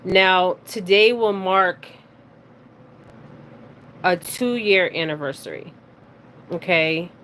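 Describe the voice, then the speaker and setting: A woman hosting a casual spiritual reading, speaking haltingly, stumbling over words and often checking in with her listeners. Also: on the low side, a bit bright. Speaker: spiritual reader and card reader hosting her own video. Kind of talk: casual psychic reading, reading news details aloud. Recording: clearly noisy recording with background ambience.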